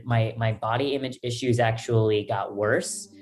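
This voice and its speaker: A young man telling his own story in an interview, in a halting, hesitant way. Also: mid-range voice, steady tone, darkish tone. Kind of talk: personal testimony.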